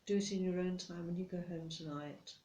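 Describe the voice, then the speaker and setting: A British woman playing a stern, condescending, nitpicking office boss in a deliberate ASMR roleplay, speaking straight to the listener. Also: on the low side, steady tone, dark tone, slight background noise, close to an everyday voice. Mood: stern, disapproving. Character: strict, nitpicking female office boss telling off a new employee. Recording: roomy echo.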